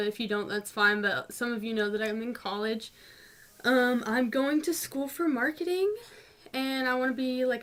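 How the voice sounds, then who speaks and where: A woman's voice: a little high, a bit bright. A woman in her twenties with a North Georgia mountain Southern twang, chatting casually and answering viewer questions in a vlog. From North Georgia, USA. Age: 20s. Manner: chatty, rambling, frequent ums and little laughs. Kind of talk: casual chat.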